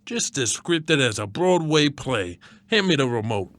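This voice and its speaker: A man playing a grumpy, folksy, conspiracy-minded father-in-law in a scripted comedy sketch, gruff and emphatic. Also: medium pitch, expressive, a little slow, fairly bright tone, dry recording. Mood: grumpy, smug. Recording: noticeable background noise.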